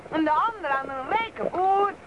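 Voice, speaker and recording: A woman's voice: very high-pitched, lively intonation, normal speed, dull audio. An actress playing a chatty, earthy older peasant mother in a radio drama. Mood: chatty, amused. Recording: noisy outdoor radio scene.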